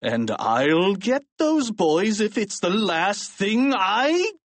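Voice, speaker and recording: A man voicing a gruff, angry middle-aged school principal in an audiobook, blustering and menacing as he shouts. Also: high-pitched, sing-song, takes it slowly, no room sound, voice pushed up, heavily acted.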